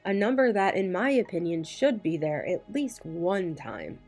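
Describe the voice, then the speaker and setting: Low-pitched woman narrating true crime over music. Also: sing-song, moderate pace, darkish tone.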